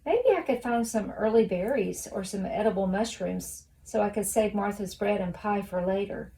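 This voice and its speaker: A woman reading a children's novel aloud as expressive storybook narration. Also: medium pitch, moderate pace, vocal fry, slight background noise, low-quality recording.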